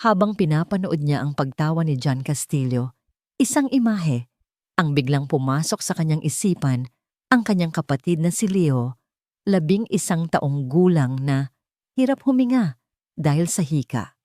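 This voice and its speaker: Low-voiced Filipino woman narrating drama. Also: lively intonation, normal speed, dark tone, no echo, clean studio sound. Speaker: audio story narrator. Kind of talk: dramatic scripted storytelling.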